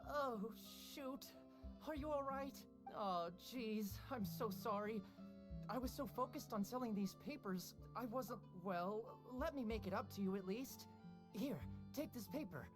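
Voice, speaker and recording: A flustered young newspaper seller, voiced by a woman in a game, nervous and quick to apologize. Music plays clearly behind her. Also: on the high side, close to an everyday voice.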